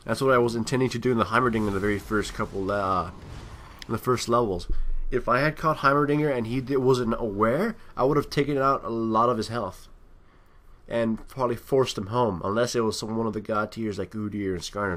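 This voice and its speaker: A man's voice: fairly low pitch, fairly even intonation, brisk, a bit bright. A man doing casual, unscripted game commentary, thinking aloud in a rambling way and often trailing off. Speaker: gameplay commentator.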